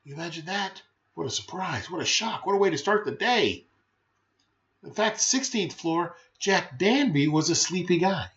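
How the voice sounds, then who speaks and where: A man with a fairly high voice reads a children's storybook with very lively, swooping intonation. His delivery is playful and emphatic, moving between rhyme and chatty asides. The sound is slightly dull. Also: normal speed. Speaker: storyteller reading a children's picture book. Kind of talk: expressive rhyming storybook narration with brief chatty asides.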